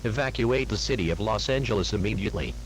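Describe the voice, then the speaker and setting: Low-key formal male emergency announcer, faint noise. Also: lively intonation, low-quality recording. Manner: formal, grave, deliberate. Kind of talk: scripted emergency alert announcement.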